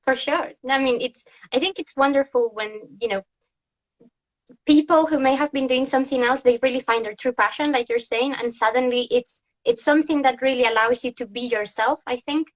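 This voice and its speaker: A woman's voice: high-pitched, fairly quick, rough recording. A woman hosting a casual interview as a tour guide and food historian, chatty and laughing often.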